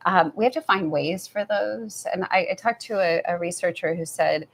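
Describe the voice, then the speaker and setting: A soft-spoken woman speaking as an author guest at a live event, thoughtful and prone to restarts. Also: fairly low pitch, normal speed, creaky. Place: event venue with audience.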